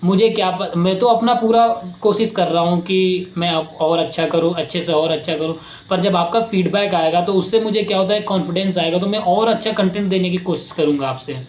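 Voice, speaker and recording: A man's voice: high-pitched, fairly quick, a little hiss or hum. A man giving a casual, rambling explainer lesson for his channel, repeating himself and restarting often. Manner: rambling, repetitive, many restarts. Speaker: YouTube creator teaching voice skills. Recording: roomy, slightly dull with faint ambience.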